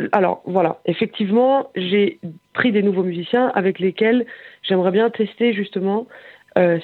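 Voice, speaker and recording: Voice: medium pitch, no echo. Hesitant singer on a tinny phone line. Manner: hesitant, searching for words, many fillers. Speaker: singer and bandleader. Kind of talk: phone interview.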